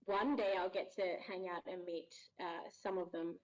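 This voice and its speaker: A woman voice actor chatting as a guest over a remote call, friendly and quick to laugh. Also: fairly low pitch, fairly even intonation, muffled recording. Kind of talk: casual podcast interview. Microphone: remote video call.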